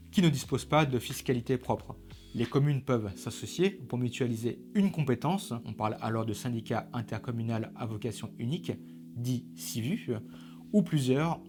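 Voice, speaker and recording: A man reads scripted educational narration in a didactic, structured way of explaining. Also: medium pitch. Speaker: presenter of an explainer video. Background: background music.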